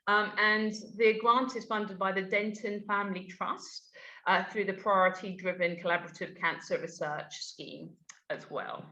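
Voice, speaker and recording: A woman hosting an online lunchtime talk in a relaxed, chatty way with frequent ums. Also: mid-range voice, moderate pace. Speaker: host. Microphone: video call. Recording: roomy, dull video call audio.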